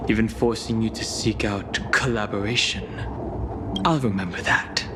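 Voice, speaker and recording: An actor playing a resolute, wary young hero in a video game, speaking gravely and pointedly. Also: male voice, fairly low pitch, normal speed, noisy background, natural voice. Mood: bitter, distrustful. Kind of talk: scripted video game voice acting. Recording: loud music under the voice. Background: game music.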